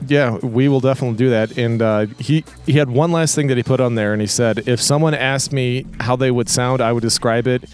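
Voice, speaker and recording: A man of average pitch talks casually as a podcast co-host. His delivery is a little halting, and music plays under his voice. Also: steady tone.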